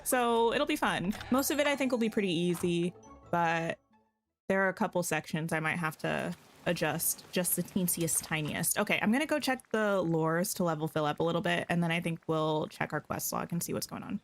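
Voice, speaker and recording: A woman's voice: lowish voice, brisk, faint music behind. Chatty woman streamer, slightly scratchy voice. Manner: chatty, greets and answers viewers, thinks aloud. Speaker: game streamer. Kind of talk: casual live-stream chat while playing.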